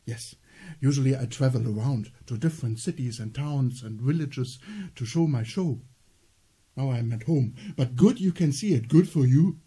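A middle-aged man from northern Germany with a German accent, telling a slow, gentle solo theater story. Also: mid-range voice, husky. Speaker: theater performer.

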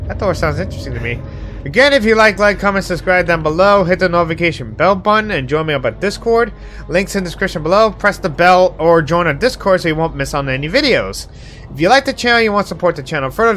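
A young man with a cold, coughing now and then, chatting casually as he hosts a game playthrough. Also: sits high. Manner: chatty, reacts loudly to surprises. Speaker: let's play host. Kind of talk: casual gameplay commentary.

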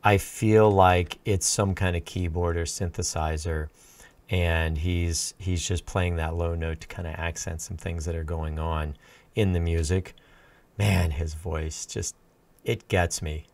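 Middle-aged male band teacher, deep low voice, easygoing rambling reaction talk, close studio mic. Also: measured pace. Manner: relaxed, admiring, rambling with fillers. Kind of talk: casual reaction commentary. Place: small home studio room indoors. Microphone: large studio mic on a stand, close.

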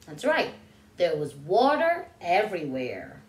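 A woman in her thirties reads a picture book to small children at an unhurried pace. Her pitch swings widely as she poses questions and draws out key words, like a teacher at story time. The room adds a noticeable echo. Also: wildly swooping pitch. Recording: roomy indoor echo.

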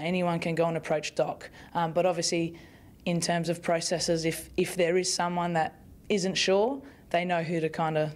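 A woman's voice: on the low side, a little mellow. A young Australian woman at a club press conference, answering questions in a chatty way with many fillers and restarts, speaking into a bunch of press microphones. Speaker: football player at a press conference. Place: club media room in front of a sponsor backdrop. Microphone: bunch of press microphones on the table.

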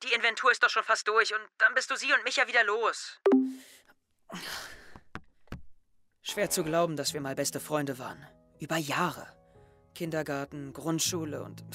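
A man's voice: a little high, fairly even intonation, normal speed, bright, forceful tone, soft background music. A young man playing a brooding, suspicious teenage schoolboy in a German audio drama, with a hesitant, introspective manner. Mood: resigned. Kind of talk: naturalistic audio drama dialogue and monologue.

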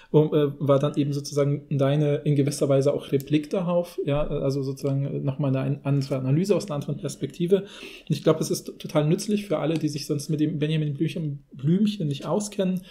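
A man's voice: fairly high pitch, steady tone, fast, dark tone. A man hosting a podcast, retelling things in a casual, rambling, colloquial way. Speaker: podcast host.

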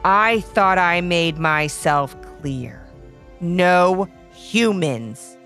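Imperious monkey big brother, lowered, slow, thundering storybook villainy, female reader. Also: expressive, a bit bright, faint music behind, exaggerated voice. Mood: stern. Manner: slow, commanding.

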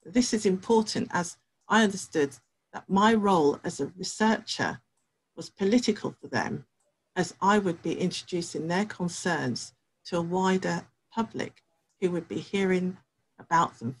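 Woman reading a careful academic talk, husky. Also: fairly low pitch, low-quality recording. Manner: measured, careful reading with pauses. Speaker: researcher. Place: home study with bookshelves.

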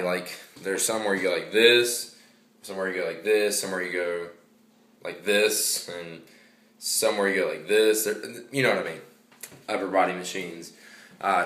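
A man's voice: sits low, fairly bright tone, roomy sound. A young man vlogging from home, rambling casually with lots of fillers and restarts. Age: young adult. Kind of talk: casual vlog monologue. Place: small hallway at home at night.

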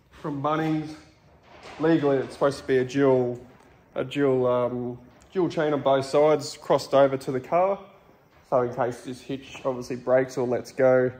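A man in his thirties with an Australian accent, hoarse from a cold, rambling casually with lots of ums while filming himself on a phone in a large metal-walled workshop. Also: mid-range voice. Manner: rambling and relaxed, many ums. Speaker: vlogger. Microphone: phone held at arm's length.

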